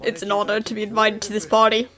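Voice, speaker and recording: A woman's voice: mid-range voice, completely flat delivery, quick pace, a bit bright, natural voice. A woman voicing a courteous, sincere male company president in a cartoon fan dub, heartfelt in manner. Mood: polite.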